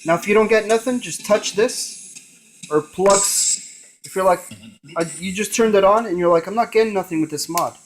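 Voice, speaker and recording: A man's voice: high voice, moderate pace, slight background noise. A man presenting a casual hands-on gadget demonstration, narrating each step in short fragments.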